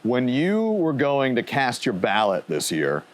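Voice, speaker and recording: A man reporting for TV news, asking measured, clear interview questions. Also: medium pitch, huge pitch swings, background noise.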